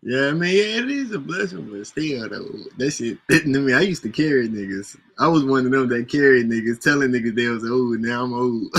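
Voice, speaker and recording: A man's voice: medium pitch, lively intonation, normal speed, fairly bright tone. A man in casual chat with friends, laid-back, slangy and profane, often breaking into laughs. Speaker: host.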